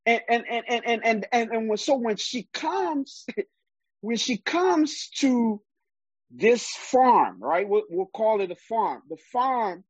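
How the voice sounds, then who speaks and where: A man's voice: very high-pitched, animated, takes it slowly, slightly rough, no echo. A man from Liberia preaching a sermon, emphatic and often repeating words while he gathers his thoughts.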